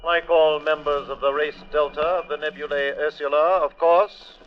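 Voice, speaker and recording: An actor playing a formal, clipped alien commander in an old radio drama, through a radio transmission filter. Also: male voice, fairly high pitch, steady tone, normal speed, highs cut off. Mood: measured, authoritative. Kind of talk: 1940s-50s radio drama acting. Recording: band-limited old radio recording.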